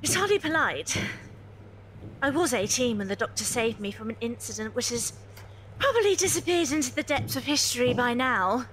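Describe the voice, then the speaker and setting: A woman's voice: fairly high pitch, lively intonation, moderate pace, a bit bright, slight background noise, rough recording. A woman playing a spirited young adventurer from 1930s England in an amateur audio drama, British, prim and teasing.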